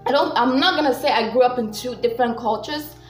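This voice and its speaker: A woman's voice: on the high side, quick pace, reverberant, faint music behind. Giggly Ghanaian young woman vlogging. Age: young adult. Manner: chatty, giggly, loose and rambling. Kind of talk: casual vlog talk to camera. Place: small room indoors.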